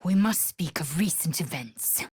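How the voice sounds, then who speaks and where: A woman voicing a fierce, proud alien warrior in a video game, speaking with a husky rasp in a haughty, clipped, commanding and formal manner. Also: sits low, lively intonation, a little slow, darkish tone, no room sound, clean studio sound, full character voice. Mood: wary, clipped. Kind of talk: video game character voice acting.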